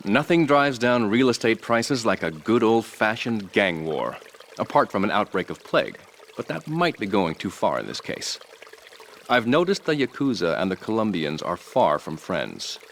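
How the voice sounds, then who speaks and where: Smooth rich tycoon, calm and polished, deep low voice, faint noise. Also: male voice, moderate pace. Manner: calm, polished, dryly ironic. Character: suave, scheming rich male property tycoon.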